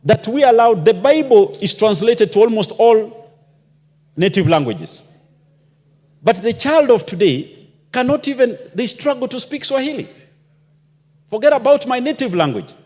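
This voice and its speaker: A man's voice: very high voice, big pitch swings, normal speed, long pauses, a little mellow. A man giving an impassioned parliamentary speech, firing off rhetorical questions as a member of parliament. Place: parliamentary chamber. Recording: long reverb of a large chamber.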